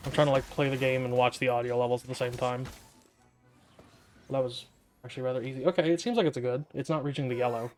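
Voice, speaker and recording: A man's voice: medium pitch, fairly even intonation, quick pace, soft background music. A man giving casual gameplay commentary, rambling and often interrupting himself as he thinks aloud. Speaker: gaming video creator.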